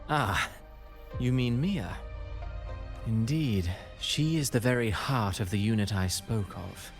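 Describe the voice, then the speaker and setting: A suave game villain, low and soothing. He speaks in measured, theatrical, courtly phrases, calculating in every word, with music behind him. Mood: calm, scheming. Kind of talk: scripted video game acting. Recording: music playing behind the dialogue.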